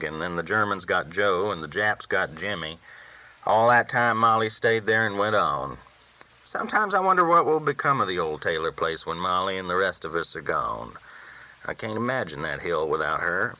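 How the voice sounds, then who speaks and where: A man's voice: deep voice, sharp tone, low-quality recording. A man reading a first-person novel aloud as a folksy storyteller. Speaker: audiobook reader.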